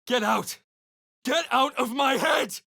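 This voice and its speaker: Male battle mage for a fantasy game: high-pitched, raspy, forceful, dramatic incanting. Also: slow pace, no room sound, clean studio sound, heavily acted. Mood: fierce, strained. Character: intense male fantasy spellcaster hero in combat.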